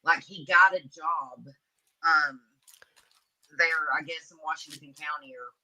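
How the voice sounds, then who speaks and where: Very bright, sharp-voiced woman hosting crime chat. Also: low-pitched, unhurried, low-quality recording. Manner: conversational, directly addressing listeners. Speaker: host of a true crime live stream. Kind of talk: casual true crime discussion.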